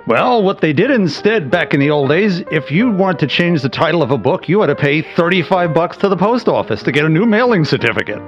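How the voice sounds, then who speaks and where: Male podcast regular, casual explainer, animated intonation, somewhat high pitch, slightly muffled recording. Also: brisk, few pauses, soft background music. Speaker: podcast regular giving comic history.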